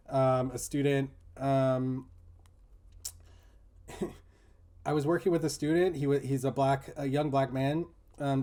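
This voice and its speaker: A man's voice: mid-range voice, dark tone. A man talking in a casual, reflective discussion, explaining earnestly and often dropping in "you know" and "like". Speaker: teacher in a discussion group.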